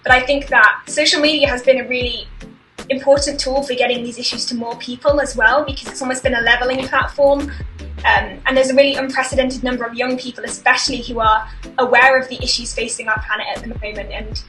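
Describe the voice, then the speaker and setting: A young woman with a high, bright voice speaks in an upbeat, articulate way. She sounds a bit far from the mic in a roomy, echoing space, with light music behind. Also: fairly even intonation, fairly quick. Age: young adult. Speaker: environmental charity co-founder. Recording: distant, echoey mic.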